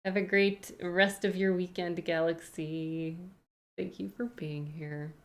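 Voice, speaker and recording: Canadian girl streamer, kinda low voice, rambly, answering chat questions. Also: fairly even intonation, slow pace. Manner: rambling, thinks aloud with frequent hedges.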